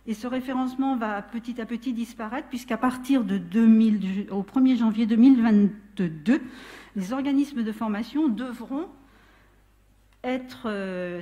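Mellow-voiced woman lecturing at a lectern. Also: fairly high pitch. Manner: explanatory, with frequent restarts and self-corrections. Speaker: training consultant speaking at a trade fair. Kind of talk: conference presentation. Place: large darkened conference hall with audience.

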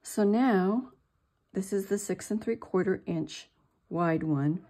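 A woman's voice: medium pitch, warm tone. An older woman giving a chatty, step-by-step craft demonstration at her work table. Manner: slow, chatty, self-deprecating. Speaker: craft tutorial host.

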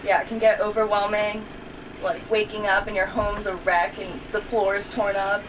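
A woman in her twenties from Saipan, a boat owner and captain, answering interview questions in a relaxed way inside a small boat cabin. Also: mid-range voice, moderate pace. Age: 20s. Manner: relaxed, laid-back with fillers. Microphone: camera across the table. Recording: distant camera mic with background noise.

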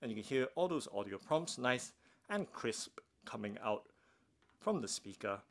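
A man's voice: mid-range voice, expressive, a little slow, creaky. A man giving a casual, unscripted product demonstration as a reviewer, talking through each step as he does it. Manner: narrates own actions step by step. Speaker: tech unboxing reviewer. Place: indoors at a wooden table.

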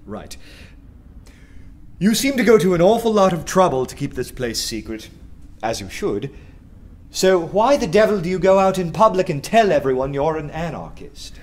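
An actor playing a witty, skeptical poet in a radio play, urbane and ironic. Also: male voice, fairly high pitch, big pitch swings, normal speed, darkish tone. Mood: teasing, probing. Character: witty skeptical male poet, dryly polite and teasing.

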